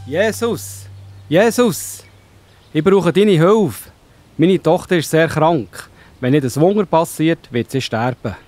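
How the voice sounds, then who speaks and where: A man playing a devoted, emotional father in a children's Bible audio play, earnest and drawn-out, with a Swiss German accent. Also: on the high side, lively intonation, a little mellow, dry recording, soft background music. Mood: desperate pleading. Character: desperate, devoted father of a sick girl.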